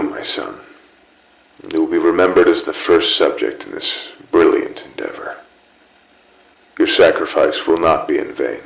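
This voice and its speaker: An extremely deep, heavily creaking voice of a cold, obsessive scientist. He dictates his experiment logs slowly and grandly, as in a horror game tape, with a clear echo around him. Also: lo-fi sound, heavily acted. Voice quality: gravelly. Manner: slow, deliberate, grandiose pronouncements. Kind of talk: scripted horror game audio log. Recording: echoey taped audio log.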